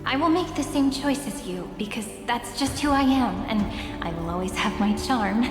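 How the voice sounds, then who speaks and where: An actress playing a gentle, dreamy young heroine in a video game, speaking in a tender, poetic, solemn way. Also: female voice, fairly high pitch, expressive, moderate pace, lightly acted. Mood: wistful, tender. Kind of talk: dramatic game voice acting. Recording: echoey long reverb under clear music.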